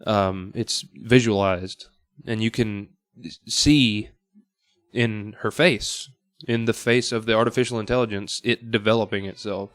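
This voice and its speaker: Man, smooth clear voice, mid pitch, dry studio sound, casual podcast guest, halting thinking-aloud delivery. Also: steady tone, fairly bright tone, no room sound. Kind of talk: casual chat.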